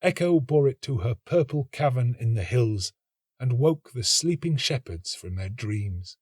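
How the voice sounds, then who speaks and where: Animated male storybook narrator, dry close sound. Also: medium pitch, slightly rough, no room sound. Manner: measured, dramatic, expressive. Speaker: story reader, actor.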